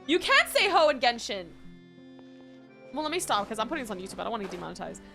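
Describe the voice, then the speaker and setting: A young woman streamer giving casual, slangy, expressive live reactions as she plays a game. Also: fairly high pitch, fast, vocal fry, soft background music.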